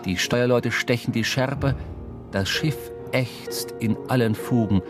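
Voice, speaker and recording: A man's voice: mid-range voice, slow, over music. A man narrating a literary radio drama with vivid, precise storytelling. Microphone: close studio microphone.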